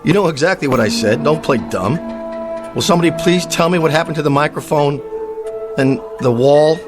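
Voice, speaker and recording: An actor with an American accent playing a vain, petulant, bullying cowboy star in a radio drama. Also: male voice, fairly high pitch, a little fast, close to an everyday voice. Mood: indignant, bewildered. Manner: whiny, bullying, self-important. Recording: faint music behind the voice.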